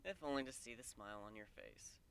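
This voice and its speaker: A man voicing a gentle, easygoing male pony in a fantasy story, with a dry, understated delivery. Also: mid-range voice, expressive, a little fast, gravelly, clear, resonant tone. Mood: dry, fond.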